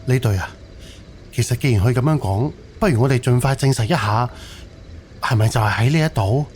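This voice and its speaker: A man's voice: mid-range voice, brisk, a little mellow, a little hiss or hum, close to an everyday voice. A man playing an earnest, helpful amateur sleuth in an audio drama, talking casually and persuasively. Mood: earnest, suggesting. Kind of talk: Cantonese audio drama acting.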